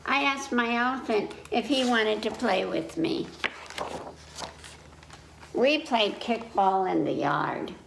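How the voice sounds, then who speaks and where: Female children's story-time reader, slow and creaky-voiced, talks simply and directly to young listeners, recorded in a roomy space. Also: fairly high pitch. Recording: roomy echo, faint background noise.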